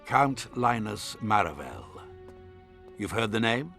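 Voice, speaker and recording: An actor playing a haughty, scheming nobleman in a video game, speaking in a formal, imperious and measured manner. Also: fairly low pitch, sing-song, gravelly, fairly bright tone, faint music behind, heavily acted. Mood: proud, confiding.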